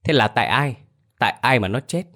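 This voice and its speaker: A man voicing a sharp-tongued elderly mother-in-law who argues in a whiny, defensive way. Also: lively intonation, a little fast. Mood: scornful. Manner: shrill, whiny, argumentative.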